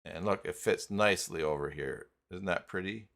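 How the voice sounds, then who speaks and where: A man hosting an unscripted live software tutorial, talking haltingly as he thinks aloud while working. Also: low-pitched, gravelly. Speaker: host of live tutorial stream.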